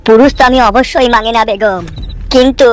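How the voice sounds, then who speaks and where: A woman's voice: on the high side, fairly quick, loud music behind the voice, lightly acted. A woman voicing an eager, lovestruck young man in a cartoon comedy, pleading and stammering. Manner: pleading, stammering when scared. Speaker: cartoon character. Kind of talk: Bengali cartoon comedy dubbing.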